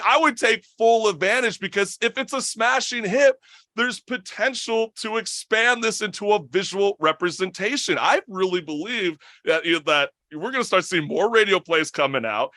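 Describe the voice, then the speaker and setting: Bright, high-voiced male talk show host. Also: no echo. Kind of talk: casual chat.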